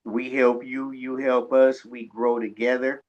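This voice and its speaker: Slow-talking man, dull lo-fi sound. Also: medium pitch, flat delivery. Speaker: radio show co-host. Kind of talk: casual conversational interview, anecdotes. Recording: dull, lo-fi audio.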